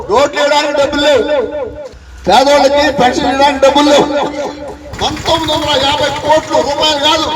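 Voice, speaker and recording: A man giving an emphatic, repetitive political speech full of rhetorical questions, as a party leader. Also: very high voice. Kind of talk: impassioned outdoor political speech. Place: outdoors at a village gathering. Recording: distant outdoor mic with crowd noise.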